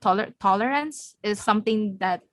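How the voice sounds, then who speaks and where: A young Filipino woman co-hosting a podcast in a relaxed chat, frank and quick to laugh. She often restarts mid-sentence as she finds her words. Her voice sits at a middling pitch, close and dry on the mic. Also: dry recording. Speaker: podcast co-host. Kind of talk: casual chat.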